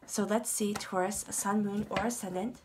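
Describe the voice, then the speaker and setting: A woman giving a tarot reading to her viewers, her voice congested and stuffy from a cold. She speaks at a middle pitch in a calm, reassuring way, circling back to the same phrases. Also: normal speed, heavy background noise. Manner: soothing, reassuring, repeats phrases. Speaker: tarot reader. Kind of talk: tarot reading monologue to camera.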